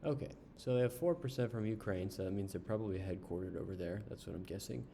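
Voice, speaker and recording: A man casually reviewing an online service while browsing its pages, unhurried, with frequent ums and uhs. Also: lowish voice, vocal fry, mellow, slight background noise. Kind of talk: casual screen-share review.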